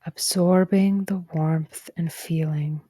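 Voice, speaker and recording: A woman narrating a guided meditation in a gentle, calm and soothing way, with soft breathy phrase endings. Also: on the low side, slow, no echo. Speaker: psychologist guiding a meditation.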